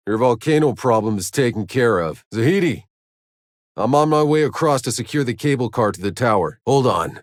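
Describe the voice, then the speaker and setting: A man playing a gruff, weary, sardonic sci-fi soldier in a video game, with terse, dry, world-weary delivery. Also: sits low, no echo, full character voice. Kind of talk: video game character voice lines.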